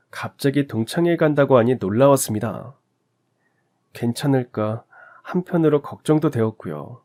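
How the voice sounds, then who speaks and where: A man calmly narrating a family story as the husband, in a scripted, sympathetic storytelling manner. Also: mid-range voice.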